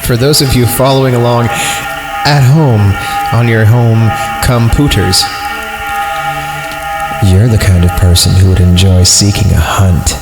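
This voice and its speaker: Low-voiced man in heavy echoing reverb. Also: a little slow, pauses often. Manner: irreverent, joking, digressive, easily amused. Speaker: podcast host reading and reacting to horror stories. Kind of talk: casual comedic reading with rambling asides. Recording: echoey long reverb, music behind the voice. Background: music.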